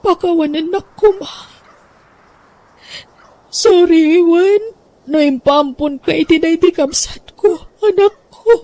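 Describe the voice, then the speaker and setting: A woman's voice: very high-pitched, a little slow, pauses often, dark tone, no echo. A woman with an Ilocano accent playing a sorrowful, apologetic mother in a radio drama, emotional and pleading. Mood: tearful, tender. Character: sorrowful, apologetic mother confessing about her child.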